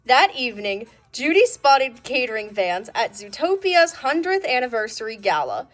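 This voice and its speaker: A woman reading a children's storybook aloud in an expressive storytelling style, as narrator. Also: fairly high pitch, moderate pace. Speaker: storybook reader.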